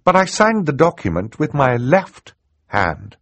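A man's voice: on the high side, huge pitch swings, unhurried, fairly bright tone, dry recording, voice pushed up, heavily acted. A man playing a clever, determined teenage girl, polite but assertive and precise, in an audiobook acting style. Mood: confident.

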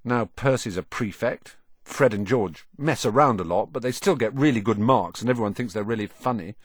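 A man's voice: fairly low pitch, a little fast, no echo, studio quality, natural voice. A man voicing an eager, self-deprecating young boy wizard from a big family, grumbling and exclaiming as audiobook dialogue. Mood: gloomy, grumbling.

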